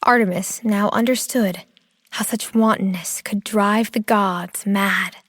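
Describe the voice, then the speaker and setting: A woman reads scripted fiction as a sensual storyteller, breathy and often close to a whisper. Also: medium pitch, steady tone, measured pace, a bit bright, no echo. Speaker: audio drama narrator.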